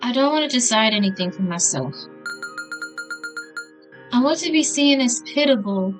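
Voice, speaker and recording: A woman's voice: fairly high pitch, lively intonation, normal speed, over music, lightly acted. A woman voicing a self-pitying, coy young female murder suspect in a visual novel, plaintive and teasing. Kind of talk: visual novel dialogue read aloud.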